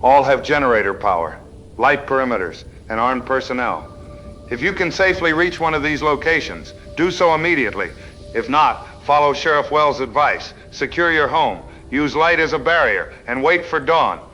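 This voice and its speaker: A man as a forceful town emergency official. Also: mid-range voice, lively intonation, moderate pace, faint music behind, poor audio. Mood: grave, urgent. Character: male town official giving emergency instructions. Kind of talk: audio drama emergency announcement.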